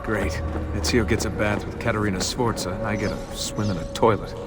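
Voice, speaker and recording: An actor playing a joking young American adventurer in a video game, casual and sarcastic. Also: male voice, on the low side, fairly even intonation, a little fast, lightly acted. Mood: sarcastic, grumbling. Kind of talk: video game dialogue acting. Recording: game music behind the dialogue.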